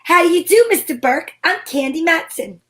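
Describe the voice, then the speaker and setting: A woman's voice: extremely high pitch, lively intonation, moderate pace, fairly bright tone. A woman playing a breezy, wisecracking private detective in an amateur old-time radio drama, wry and playful.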